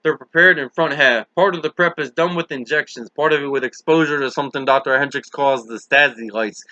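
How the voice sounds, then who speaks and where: A man reads the part of a lowly housekeeper in a novel. The delivery is earnest and plainspoken, confiding and explanatory. The voice is bright and forceful, in a dry, dull-sounding recording. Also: a little fast, no room sound, close to an everyday voice. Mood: earnest, explaining. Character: Maureen, lowly woman housekeeper confessing secrets, earnest and plainspoken.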